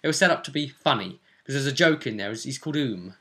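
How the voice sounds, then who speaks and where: A British man casually recounting a tabletop role-play session as game master, acting out what the players said in an animated way. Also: medium pitch, quick pace.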